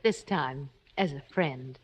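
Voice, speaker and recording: An actress playing a kindly, sociable wife of a playwright in an old radio drama. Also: female voice, very deep voice, slow, husky, highs cut off, exaggerated voice. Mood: friendly. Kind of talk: 1940s-50s radio drama acting. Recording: old radio broadcast recording.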